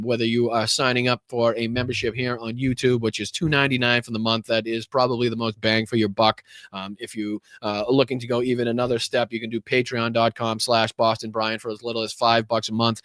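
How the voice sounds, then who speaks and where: A man's voice: medium pitch, fairly bright tone, dry recording. A man hosting a political podcast livestream, talking casually and rambling with lots of fillers and swearing. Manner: rambling, profane, many fillers. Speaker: podcast host.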